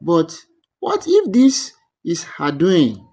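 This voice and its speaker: A man plays a suspicious elder from a village folktale. He challenges and accuses with a sneer, in a fairly high, very animated voice at a very slow pace. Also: full character voice.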